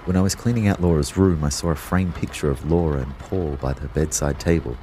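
Actor as low-voiced, reflective young boyfriend. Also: male voice, fairly quick, mellow, slight background noise. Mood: sombre, reflective. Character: reflective, concerned young boyfriend, a fitness fanatic. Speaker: narrator and lead character. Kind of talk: first-person audio drama narration and dialogue.

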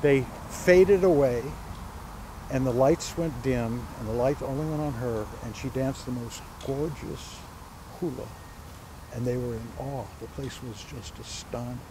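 A man's voice: medium pitch, a little slow, noisy background. A man in his sixties from Hawaii, telling family stories as an interview guest outdoors, gesturing as he talks. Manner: animated storyteller, gestures while talking. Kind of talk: storytelling in a conversational interview. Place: outdoors on palm-lined grounds by a church.

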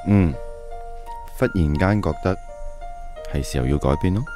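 Calm deep guy near forty male actor, radio drama, music playing behind. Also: normal speed, natural voice. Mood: resolute.

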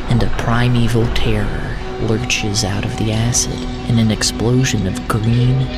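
A man narrates a dramatic story in the first person at a slow pace. His phrasing is deliberate and drawn out, broken by frequent pauses. His voice sits at a middle pitch, with strong music playing behind it. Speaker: narrator of a fiction podcast. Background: prominent music.

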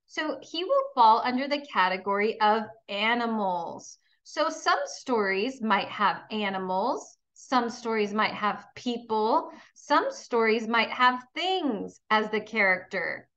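Female kindergarten teacher, unhurried and bright, encouraging read-aloud delivery for little ones, slightly lo-fi sound. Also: a little high, expressive. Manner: encouraging, instructional, addressing young pupils with questions. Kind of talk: children's read-aloud lesson.

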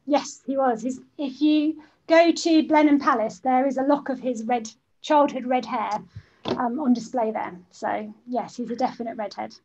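A woman with a slightly high voice talks casually over a video call from a room at home. She hesitates often and laughs easily while answering questions. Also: normal speed, warm tone, lo-fi sound, a little hiss or hum. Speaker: guest speaker.

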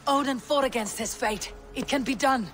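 A woman's voice: high-pitched, a bit bright. Young Viking warrior, female, proud, defiant, formal and grave, game cutscene, faint music underneath. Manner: grave, deliberate, formal phrasing. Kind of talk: dramatic video game cutscene acting.

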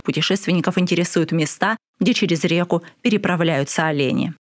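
A woman narrating a news report in a calm, measured, scripted way. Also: fairly low pitch, noisy background. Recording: voice-over with loud music behind.

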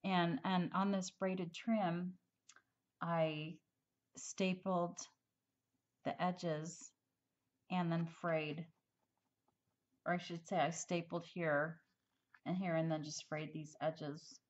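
Woman crafter, slightly hoarse, lower voice, rambling tutorial delivery, lo-fi home recording. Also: little pitch movement, slow, long pauses. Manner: chatty, rambling, frequent ums and restarts. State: slightly hoarse, losing her voice. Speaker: crafter showing a handmade project. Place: indoors at a craft table.

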